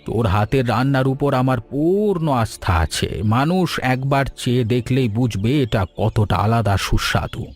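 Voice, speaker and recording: A man voicing a kindly, encouraging old village grandfather in an animated story, with a reassuring manner. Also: mid-range voice, moderate pace, few pauses, faint music behind, lightly acted. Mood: approving. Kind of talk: Bengali animated story dialogue.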